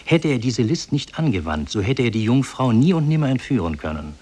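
An actor playing a solemn, benevolent demon in human form, speaking formally and with measured declamation in a 1950s radio drama. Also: male voice, mid-range voice, sing-song, a little mellow, breathy edge. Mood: grave, instructing.

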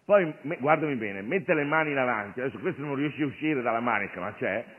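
A male comic actor delivering an animated, digressive satirical monologue on stage, addressing the audience and his sidekick. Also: mid-range voice, fast talker. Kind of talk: theatrical stand-up monologue with a sidekick. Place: theatre stage. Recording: noisy, narrow phone-like radio recording of stage show.

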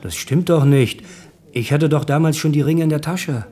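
An actor playing a blunt, nostalgic working-class ex-husband in a naturalistic radio play, talking in a colloquial, needling, familiar way. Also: male voice, on the high side, moderate pace, warm tone, breathy edge. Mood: probing.

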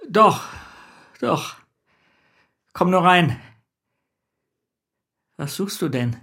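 An actor playing a mild, obliging small-town bookseller who gives brief, plain-spoken replies in a German radio drama. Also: male voice, sits high, lively intonation, extremely slow, gravelly, pushed and bright, breathy edge, full character voice.

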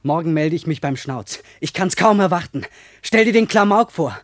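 An actor playing a small, proud schoolboy prankster in a German radio play, eager and boastful. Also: male voice, fairly high pitch, lively intonation, normal speed, no room sound, clean studio sound, lightly acted. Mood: excited, proud. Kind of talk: German radio drama acting.

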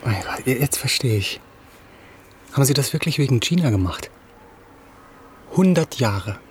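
An actor playing a proud, wounded young writer in a radio drama, cutting and mocking, halting and repeating words in agitation. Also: male voice, medium pitch, expressive, a little hiss or hum, natural voice. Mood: incredulous, scornful. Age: young adult.

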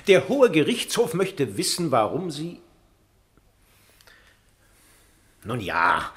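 A pompous older justice minister in a satirical radio play. His voice is bright, forceful and high, swinging widely as he presides with officious, excitable bluster. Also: measured pace, exaggerated voice. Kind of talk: satirical radio drama acting.

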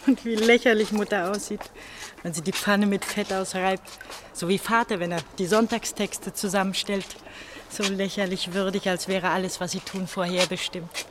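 Woman acting pastor's young runaway daughter, anguished, halting, brooding, radio drama, medium pitch, slight noise. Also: steady tone, lightly acted. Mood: wistful, troubled. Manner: brooding, halting monologue with repeated phrases. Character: anguished eighteen-year-old pastor's daughter on the run.

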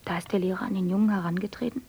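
An actress playing a young woman on a farm, retelling events in a hushed voice and softly musing to herself. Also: medium pitch, fairly even intonation, very fast, husky. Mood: hushed report. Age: young adult. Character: clever young woman, the miller's adopted daughter.

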